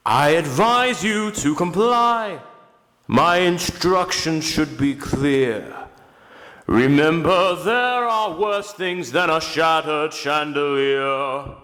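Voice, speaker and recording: A man playing a brooding, commanding opera ghost in an audio drama, speaking in a theatrical, deliberate way. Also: fairly high pitch, lively intonation, pushed and bright, full character voice. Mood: menacing. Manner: slow, theatrical, deliberate delivery. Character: brooding, menacing masked male opera ghost, commanding and bitter. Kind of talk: amateur audio drama acting.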